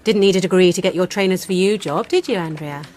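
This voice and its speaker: An actress in a radio play playing a brisk, officious female job-programme adviser with a condescending manner. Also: fairly low pitch, darkish tone, a little hiss or hum, natural voice.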